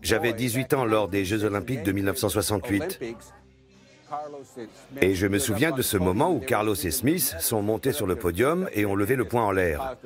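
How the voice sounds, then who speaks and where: A man's voice: on the low side, animated, normal speed, music in the background. A man in his seventies, an American bar owner, recalling the past reflectively in an interview. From United States. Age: 70s. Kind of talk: interview testimony, dubbed voice-over.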